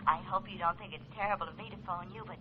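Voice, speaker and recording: A woman's voice: fairly low pitch, rapid-fire, close to an everyday voice. An actress playing a coy, effusive young lady on the telephone in old radio comedy. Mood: gushing. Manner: effusive, coaxing. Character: gushing, coy, persistent young lady caller. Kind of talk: 1940s radio comedy acting. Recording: muffled, noisy old radio, telephone scene.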